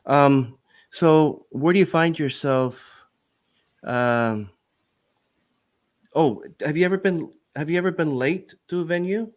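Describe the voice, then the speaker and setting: A man's voice: a little high, slow, long pauses. A man hosting a podcast interview, rambling and hesitant, full of fillers and restarts. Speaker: podcast host. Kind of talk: casual interview chat.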